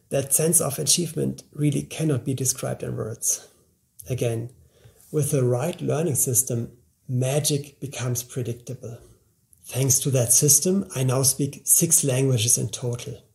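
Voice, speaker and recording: Man, 40s, presenter for a course ad; low-key mellow tone with gravel, earnest pitch to camera. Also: a little slow. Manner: earnest, upbeat sales pitch. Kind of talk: scripted promotional talk to camera. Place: indoor studio, plain grey backdrop, seated at a table.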